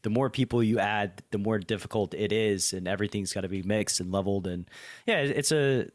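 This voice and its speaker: Easygoing low-voiced male podcast host. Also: a little fast, no room sound. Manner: easygoing, laughs along. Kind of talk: casual podcast chat.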